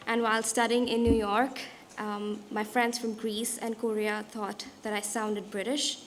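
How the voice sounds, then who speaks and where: A young woman from India with an Indian accent, giving a prepared talk as a guest speaker in a measured, deliberate way. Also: a little high, flat delivery, a little hiss or hum. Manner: measured, deliberate delivery with occasional restarts. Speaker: guest speaker, designer and artist.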